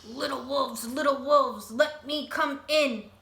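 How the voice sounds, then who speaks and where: A woman voicing a big bad male pig, a menacing storybook villain who grunts out loud, drawn-out threats. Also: high voice, heavily acted. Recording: roomy echo, some background noise.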